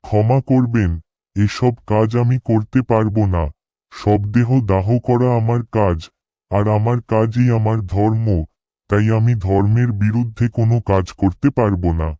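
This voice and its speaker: Man playing a low, mellow village cremation worker. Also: steady tone, measured pace, no room sound, dull audio, natural voice. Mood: firm. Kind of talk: cartoon dialogue and spoken thoughts.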